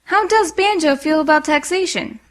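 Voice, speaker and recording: A woman's voice: high voice, normal speed, lightly acted. A woman voicing a savvy, playful young female rogue with a breezy manner in a comic cartoon fandub. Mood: shrewd curiosity. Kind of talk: animated comic fandub acting.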